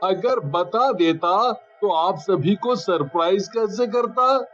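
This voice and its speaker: An earnest, questioning young man played by a man in a cartoon story, with a high-pitched voice. The recording is dull, with faint music behind the words. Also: slow pace, a bit bright. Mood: cheerful. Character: earnest, curious young man visiting his village home.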